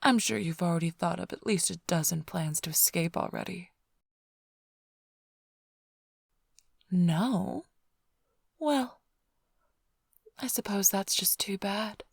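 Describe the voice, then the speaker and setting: A woman as a breathy, languid flirtatious vampire thief. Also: lowish voice, unhurried, no echo, clean studio sound, full character voice. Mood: playful, flirtatious. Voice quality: breathy, often sinking into whisper. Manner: teasing, languid, mock-affectionate drawl. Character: flirtatious immortal female vampire thief, teasing and smug. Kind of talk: ASMR roleplay, addressing the listener.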